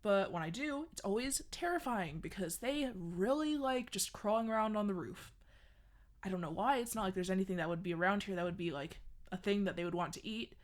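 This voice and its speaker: A woman's voice: mid-range voice, fairly quick. A woman hosting a horror comedy fiction podcast, rambling along with lots of fillers. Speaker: podcast host playing a restaurant worker. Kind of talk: scripted horror comedy monologue.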